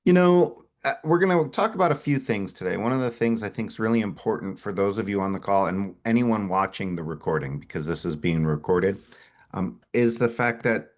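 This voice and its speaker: A middle-aged man with a head cold and a low voice presents at a webinar. He talks with energy and often doubles back on his words. Now and then he sniffles. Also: brisk. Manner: energetic, repeats words as he restarts. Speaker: company founder presenting a webinar.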